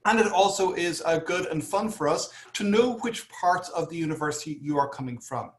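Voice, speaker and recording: A man's voice: fairly high pitch, lively intonation, a little fast. Irish man moderating, echoey room. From Ireland. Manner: hesitant, many fillers and restarts. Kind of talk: panel introduction over video call.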